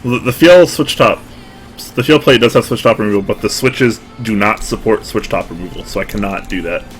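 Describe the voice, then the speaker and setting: A young man with a low-pitched voice, talking loosely and rambling at his desk while he works with his hands. Faint music plays behind him throughout. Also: a little fast, poor audio. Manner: relaxed, rambling, self-deprecating, answers chat. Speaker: streamer building a keyboard. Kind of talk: casual live-stream chat. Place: home desk. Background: music playing.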